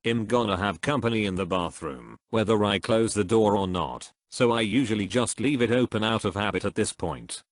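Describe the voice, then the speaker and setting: A man reading online comments aloud in an even, steady way. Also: lowish voice, normal speed, few pauses, no room sound, clean studio sound.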